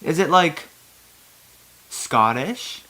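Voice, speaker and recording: A woman's voice: deep voice, lively intonation, very slow, pushed and bright, low-quality recording. A woman hosting a humorous fan fiction reading, with a giggly, sarcastic, casual way of talking. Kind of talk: casual humorous commentary and read-aloud.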